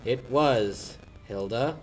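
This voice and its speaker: A man's voice: mid-range voice, extremely slow, faint music behind. A young man acting a brash, stubborn lawyer in a 1940s-style radio drama. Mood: grave, measured. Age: young adult. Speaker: high school actor.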